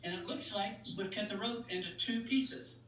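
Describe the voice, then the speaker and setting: Bright, high-voiced man with playful patter. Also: moderate pace. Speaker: magician, interview guest. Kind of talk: chatty magic demonstration and interview. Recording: roomy, distant mic, faint noise and hum.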